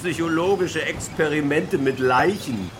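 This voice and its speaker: A man's voice: a little high, measured pace, noisy background, close to an everyday voice. Man playing a blunt, bitter military officer. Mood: sardonic. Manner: blunt, sardonic. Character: bitter, disillusioned male military officer, survivor of undead outbreak. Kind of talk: horror radio drama acting. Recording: loud music bed behind the voice.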